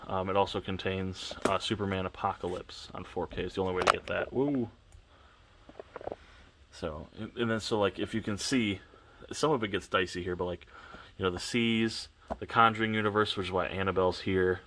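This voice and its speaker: A man with a deep, low voice and a congested, sniffly sound, rambling through a casual tour of his home shelves. He speaks in a flat, even tone and often stops to correct himself. He is recorded on a camera on a tripod. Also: moderate pace, slight background noise. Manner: rambling, offhand, self-correcting. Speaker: collector vlogger. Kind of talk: casual walkthrough of his collection.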